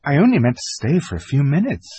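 An earnest young girl, as a reader puts her on, pitched very high and full of worried pleading. The voice is a little husky and dry. It swings widely as she coaxes and scolds. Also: very animated, fast talker, warm tone, no echo. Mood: distressed. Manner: pleading, distressed. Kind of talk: audiobook character voice.

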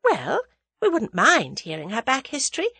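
Lady reader doing a perky young adventuress, excitable n teasing, high bright voice, bouncy storybook read. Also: normal speed, husky, no room sound, heavily acted. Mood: guarded. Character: lively, impulsive, sharp young woman adventurer.

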